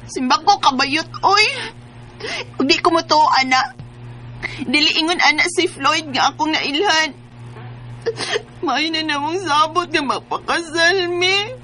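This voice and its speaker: A woman's voice: sits high, measured pace, pushed and bright, poor audio. An actress playing a distraught, emotional young woman in a Bisaya radio drama, with a Cebuano Filipino accent. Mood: anxious, pleading. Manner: melodramatic, emotional. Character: distraught pregnant young woman bereaved of her fiancé.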